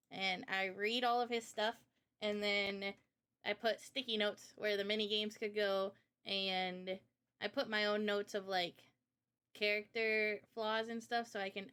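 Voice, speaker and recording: A woman hosting a relaxed question-and-answer chat as a game developer, explaining things informally. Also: mid-range voice, clear, resonant tone. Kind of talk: casual chat, Q&A.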